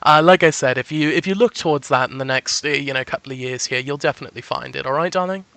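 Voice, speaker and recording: A man's voice: mid-range voice, fast talker, vocal fry, pushed and bright, no echo. A man giving a casual one-on-one psychic reading in a chatty, reassuring way, often checking that he is understood. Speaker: psychic reader.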